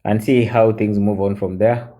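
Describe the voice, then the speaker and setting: A man in his forties, chatting on a live stream as a guest, halting with many fillers and switching into Swahili. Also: on the low side, little pitch movement, warm tone. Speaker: guest mentor. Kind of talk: casual live-stream chat.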